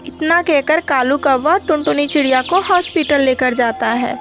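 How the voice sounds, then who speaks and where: A woman's voice: high voice, moderate pace, over music. A woman reading scripted narration for a children's cartoon story.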